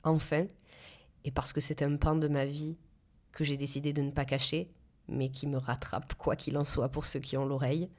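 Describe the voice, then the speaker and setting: A woman in her thirties from south-east France, speaking with a southern French accent as she reads her own podcast narration in a measured, careful way. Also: deep voice, little pitch movement, darkish tone. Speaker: podcast host. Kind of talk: scripted solo podcast narration.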